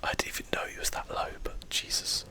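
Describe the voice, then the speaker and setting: A man's voice: quick pace, pushed and bright. Whispering man doing ASMR game commentary. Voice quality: breathy, unvoiced whisper. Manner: musing to himself, thinking aloud. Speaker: gameplay streamer, host.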